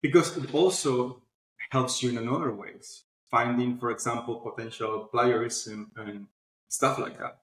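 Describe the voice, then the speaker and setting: A man's voice: mid-range voice, fairly even intonation, normal speed, fairly bright tone. Man explaining in a roomy, echoey space. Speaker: founder of a publishing platform, podcast guest. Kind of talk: conversational interview explanation. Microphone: video call. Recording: roomy echo, online video call.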